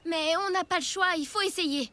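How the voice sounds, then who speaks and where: A woman's voice: very high voice, moderate pace, sharp tone. A woman voicing a small, sensible, worried child sidekick in a cartoon dub, earnest and pleading. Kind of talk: animated cartoon dub acting.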